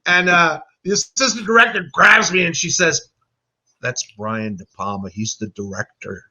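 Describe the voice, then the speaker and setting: A man's voice: fairly high pitch, moderate pace, dull audio. An American man chatting casually as a guest, telling anecdotes and acting out the quotes. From United States. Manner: animated storyteller who acts out quotes. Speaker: guest, animator.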